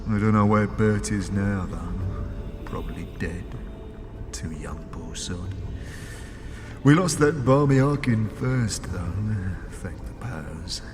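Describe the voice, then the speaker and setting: Man voicing a slow, echoing undead crypt scavenger. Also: sits low. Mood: rueful. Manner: colloquial, rustic grammar and slang. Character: mangled, amiable undead crypt scavenger, rough commoner. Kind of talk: fantasy audio drama acting. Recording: long cavernous reverb, music behind.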